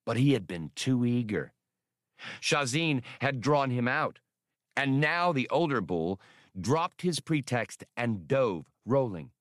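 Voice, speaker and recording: A man reads adventure fiction as a dramatic narrator. His pace is slow and deliberate, his mid-pitched voice moves expressively up and down, and the sound is dry. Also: big pitch swings, dry recording. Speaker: audiobook narrator.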